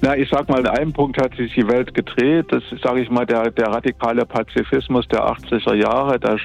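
A man's voice: medium pitch, steady tone, fairly quick, vocal fry, muffled recording. A man in his fifties from Dresden, speaking thoughtfully with fillers and restarts as a guest in a phone interview. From Dresden, Germany. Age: 50s. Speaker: academy director, interview guest. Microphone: telephone.

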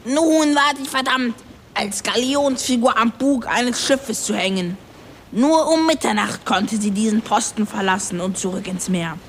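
A woman's voice: on the high side, measured pace, slight background noise, natural voice. A woman voicing a grumpy little male ship's kobold in an audio story, scolding and emphatic like an exasperated know-it-all. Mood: lecturing. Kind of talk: acted German audio story.

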